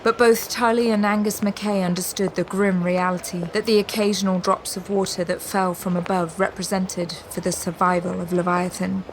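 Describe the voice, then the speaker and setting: A woman's voice: medium pitch, flat delivery, normal speed, hardly any pauses, a little hiss or hum, low-quality recording. A woman giving scripted, dramatic third-person narration for an audio drama.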